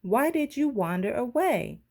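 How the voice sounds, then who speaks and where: A woman's voice: a little high, very animated, unhurried, darkish tone, voice pushed up, full character voice. A woman voicing an affectionate little girl devoted to her toy bear in a children's storybook. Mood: fondly scolding. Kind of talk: children's storybook read-aloud.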